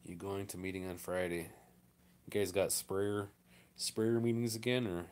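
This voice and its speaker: Male farmer, lower-pitched voice; plainspoken, rambling livestream host. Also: steady tone, unhurried, a little mellow. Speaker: farmer hosting a live stream. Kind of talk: casual chat, answering viewer comments.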